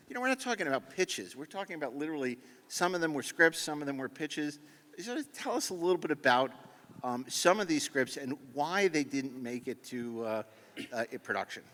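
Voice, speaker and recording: A man with a fairly high voice moderates a panel from a stage, his words ringing in a large hall. He is conversational and rambles into joking asides. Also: fairly bright tone. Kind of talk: live stage introductions, conversational. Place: convention stage before an audience. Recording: echoey hall, stage sound system.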